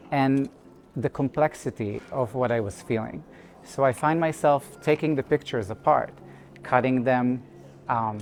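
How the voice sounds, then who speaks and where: A man from Israel, an artist speaking thoughtfully and in measured phrases about his own work. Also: mid-range voice, a little mellow. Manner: thoughtful, measured, with pauses and restarts. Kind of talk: reflective personal statement.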